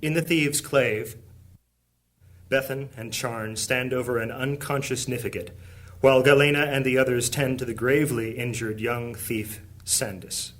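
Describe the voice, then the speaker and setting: A man's voice: medium pitch, steady tone, measured pace. A man reading scripted narration for a fantasy audio drama. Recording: music under the voice. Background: music.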